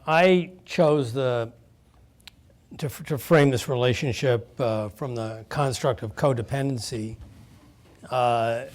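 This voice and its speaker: A man speaking as an expert on a panel, halting with many pauses and fillers, then blunt in his points. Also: mid-range voice, darkish tone. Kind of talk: panel discussion.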